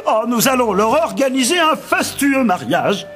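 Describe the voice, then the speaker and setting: A man playing an excitable, dim-witted old king in a cartoon, bumbling and exclamatory. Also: very high-pitched, normal speed, soft background music, heavily acted. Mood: excited. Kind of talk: French cartoon dubbing.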